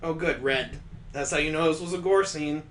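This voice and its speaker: Male let's play host, slightly high voice, exasperated and sardonic, recorded in a roomy space. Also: moderate pace, fairly bright tone, a little hiss or hum. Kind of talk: live game commentary mixed with reading visual novel text aloud. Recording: roomy, echoing sound.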